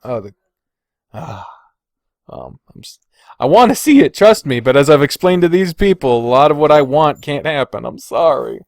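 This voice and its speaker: A man's voice: fairly high pitch, very animated, moderate pace, no room sound, clean studio sound. A man hosting a podcast, chatting informally and swearing freely as he reads and reacts to comments. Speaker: podcast host. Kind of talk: casual chat, reading and reacting to viewer comments.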